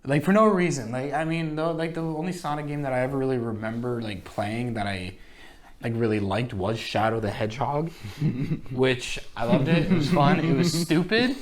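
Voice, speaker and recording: A man with an average-pitched voice chats as a podcast co-host. His style is casual banter, informal and blunt, as if among friends. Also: moderate pace.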